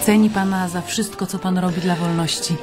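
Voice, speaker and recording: A woman's voice: lowish voice, steady tone, fairly quick, natural voice. An actress playing a polite, attentive interviewer in a radio play, asking her questions with curiosity. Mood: politely curious. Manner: polite, inquisitive. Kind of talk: radio drama acting, interviewing. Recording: studio radio play over music.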